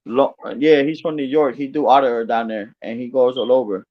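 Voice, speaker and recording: A man's voice: mid-range voice, steady tone, crowd noise. Puerto rican guy on a stream call, stumbly talk, muffled audio. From Puerto Rico. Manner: halting, repeats words. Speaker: panel guest. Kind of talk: casual chat.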